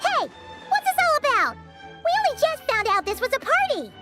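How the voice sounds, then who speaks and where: A woman voicing a small, excitable childlike girl companion in a video game, chirpy, blunt and easily exasperated. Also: extremely high pitch, moderate pace, faint music behind, full character voice. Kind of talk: video game character acting.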